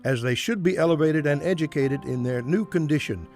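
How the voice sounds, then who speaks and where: A man's voice: a little high, brisk, a little mellow, soft background music. A man formally reading a nineteenth-century newspaper editorial aloud. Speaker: reader of archival newspaper text.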